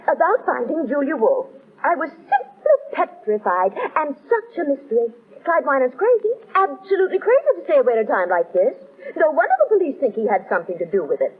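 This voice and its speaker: A woman's voice: high-pitched, very animated, a little fast. A gushing, theatrical socialite mother. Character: dramatic, money-hungry socialite mother, middle-aged. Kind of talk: 1940s radio acting. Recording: tinny old radio recording.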